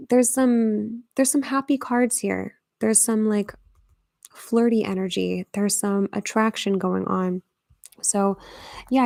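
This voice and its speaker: Gravelly chatty woman reading tarot. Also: medium pitch, warm tone, no echo, studio quality. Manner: chatty, thinks aloud with fillers, whispers at times. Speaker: tarot reader.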